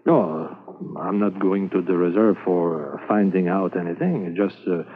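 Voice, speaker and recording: A shrewd, even-tempered French-Canadian Mountie constable in a 1950s radio play, his low voice working through halting English dotted with French words. The sound is thin and phone-like, as on an old broadcast, with faint hiss underneath. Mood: calm, thoughtful.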